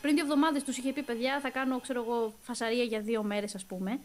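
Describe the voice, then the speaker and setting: A young woman chats casually in an interview as a voice actor, telling things in an animated, slangy way with English words dropped in. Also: on the high side, a little hiss or hum. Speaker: voice actor and dubbing singer being interviewed. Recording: voice with music playing clearly behind. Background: music.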